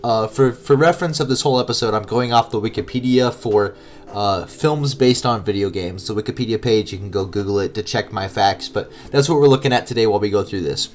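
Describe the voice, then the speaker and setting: A man's voice: lowish voice, fairly quick. A man with a stuffed-up, nasal voice co-hosting a podcast in casual, chatty style. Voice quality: nasal, congested. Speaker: podcast co-host.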